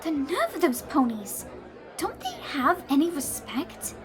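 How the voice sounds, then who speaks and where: A woman's voice: high-pitched, huge pitch swings, a little slow, faint music behind, some chatter behind, exaggerated voice. A woman playing an earnest young female pony traveler in an audio drama, polite, eager and emotional. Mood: indignant.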